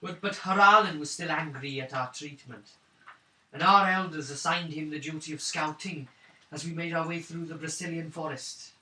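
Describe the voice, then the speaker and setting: A man plays a wounded young clanswoman in a tabletop fantasy game, shaken and weary. The delivery is halting and pleading, with a bright, forward edge. It is caught from off the mic in a roomy, hissy space. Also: fairly high pitch, fairly even intonation, distant mic. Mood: weary, bitter. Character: wounded, shaken young woman of a wandering clan.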